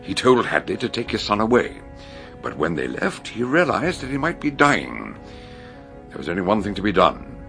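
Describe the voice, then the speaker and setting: An actor playing an older detective in a radio mystery, genial yet grave, explaining things deliberately. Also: male voice, fairly low pitch, huge pitch swings, gravelly, sharp tone, faint music behind, heavily acted. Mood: solemn explaining. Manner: hesitant openings, deliberate explanatory delivery. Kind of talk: radio mystery drama acting.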